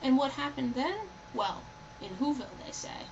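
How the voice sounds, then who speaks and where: A woman narrates a rhyming children's story expressively in a fairly high voice. The recording is somewhat muffled, with clear noise behind her. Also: sing-song, moderate pace. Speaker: storybook reader. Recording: dull, lo-fi, loud background noise.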